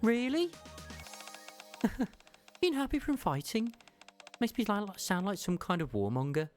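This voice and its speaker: A woman's voice: mid-range voice, big pitch swings, a little slow, darkish tone. Woman doing a tomboy soldier girl, eager, voice swings up and down a lot, bit of music under it. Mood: tired, warm. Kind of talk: fan-dubbed game story dialogue.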